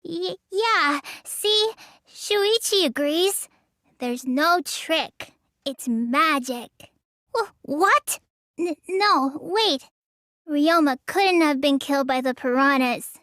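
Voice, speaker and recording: Game dub role: childlike teenage girl magician, lazy and gullible, whiny drawl, slow delivery, very dry close sound. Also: high-pitched, expressive, a bit bright, no room sound, exaggerated voice. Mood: flustered, insistent. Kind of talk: English video game dub voice acting.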